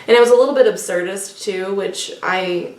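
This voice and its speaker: A woman's voice: medium pitch, moderate pace, a little mellow. A woman co-hosting a book vlog in casual, unscripted chat, talking hesitantly as if thinking aloud. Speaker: co-host of a book discussion vlog. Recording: echoey room, distant mic, faint noise.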